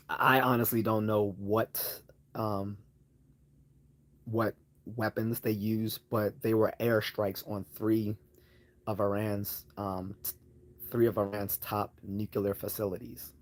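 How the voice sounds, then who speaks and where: A man hosting a relaxed livestream Q&A, his slightly low voice worn hoarse. He wanders through his answers, trailing off into fillers and starting sentences over as he reads and responds to chat. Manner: rambling, hesitant, many fillers and restarts. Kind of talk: casual live Q&A chat.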